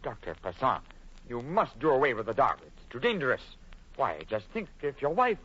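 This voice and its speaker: A man's voice: mid-range voice, wildly swooping pitch, normal speed, a bit bright, husky, exaggerated voice. A man acting an uneasy, secretive French expatriate in old radio horror, speaking formally and dramatically, faltering when pressed. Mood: alarmed, pressing. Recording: muffled old radio broadcast, faint hiss.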